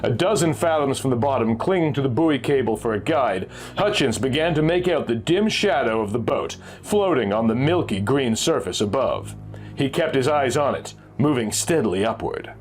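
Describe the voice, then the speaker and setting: Guy reading old pulp adventure story, suspenseful, really animated pitch, some music underneath. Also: normal speed. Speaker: narrator reading a vintage magazine story. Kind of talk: dramatic scripted narration of pulp adventure fiction. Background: background music.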